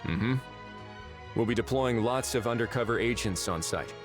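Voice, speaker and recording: A man voicing an affable, easygoing male official in a video game, relaxed and lightly wry. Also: mid-range voice, normal speed, close to an everyday voice. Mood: easygoing, measured. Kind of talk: video game dialogue acting. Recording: game music behind the voice. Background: background music.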